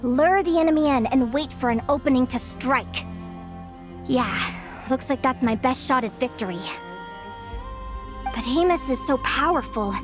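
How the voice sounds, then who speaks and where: A woman's voice: high-pitched, a little slow, pauses often. An actress playing an earnest, friendly young woman competitor, speaking over game music. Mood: determined. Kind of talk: game voice acting.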